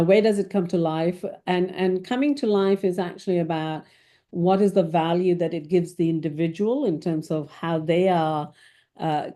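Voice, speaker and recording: A woman's voice: fairly low pitch, mellow. A middle-aged woman from Malaysia speaking as a tech executive guest, thinking aloud and often tagging her points with "right?". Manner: thoughtful, tags points with "right?". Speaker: CIO guest. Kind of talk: reflective interview talk.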